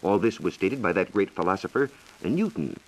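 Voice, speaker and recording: An American man explaining science in a measured, lecturing way, as a reporter in a scripted 1940s educational radio dialogue. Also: deep voice, expressive, poor audio. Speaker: science reporter and guest expert.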